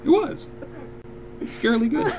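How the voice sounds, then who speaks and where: A man's voice: very high voice, big pitch swings, a little slow, crowd noise, poor audio. A man co-hosting a casual podcast, reacting playfully and giving advice. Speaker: podcast co-host.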